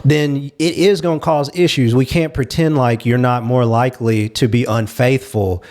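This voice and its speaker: A man's voice: mid-range voice, steady tone, normal speed, a little mellow. A man on a talk show panel, agreeing readily and explaining his points at length in a candid discussion.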